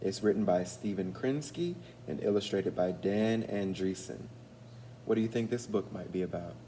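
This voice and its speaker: A man reading a storybook aloud as an educator, pausing to put guiding questions to young listeners. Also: low-pitched, mellow, slight background noise.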